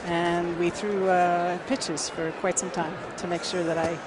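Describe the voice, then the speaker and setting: A woman's voice: on the low side, level tone, measured pace. Middle-aged lady guest, cheerful, bit off mic, stadium noise behind. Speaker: guest in broadcast booth.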